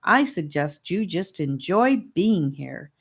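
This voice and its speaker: A woman's voice: on the low side, sing-song, slow pace, husky, heavily acted. A woman voicing a friendly, proud boy guide from a fantasy world in a children's audiobook.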